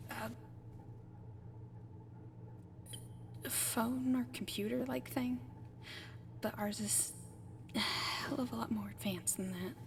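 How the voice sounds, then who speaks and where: A woman playing a friendly, apologetic alien caretaker in an ASMR roleplay, speaking gently and haltingly and often slipping into whispers. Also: fairly high pitch, background noise. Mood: gentle, curious. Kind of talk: soft-spoken ASMR roleplay addressed to the listener.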